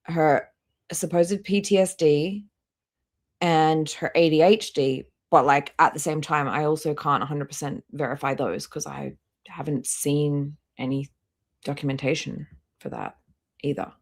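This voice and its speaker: Low-pitched blunt woman streamer. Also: a little slow. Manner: blunt, skeptical, swears. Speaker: live stream host. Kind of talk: casual live reaction commentary.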